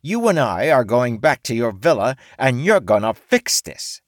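A man voicing a brash female orc who used to be a man, loud and bossy. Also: medium pitch, sing-song, slow, dry recording, clean studio sound, pitched above the performer's own voice. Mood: smug.

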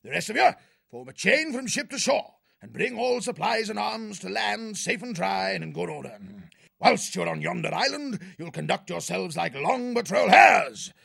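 A man voicing a bossy military hare sea-captain in a storybook, with a raspy British bark and pompous bluster. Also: high voice, animated, normal speed, no echo, clean studio sound, pitched above the performer's own voice, exaggerated voice. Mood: brisk command. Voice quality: raspy, gravelly shout.

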